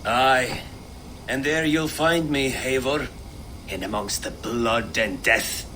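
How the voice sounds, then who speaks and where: A solemn, mournful Viking warrior. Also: male voice, medium pitch, sharp tone, slight background noise. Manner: slow and solemn. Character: mournful Viking warrior honoring a fallen friend.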